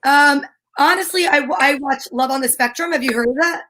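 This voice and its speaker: A woman co-host with a notably high voice talks casually. She explains things in a conversational way, working out her thoughts aloud. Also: normal speed, bright, forceful tone, slight background noise. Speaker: co-host of a comedy talk show. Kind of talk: casual chat.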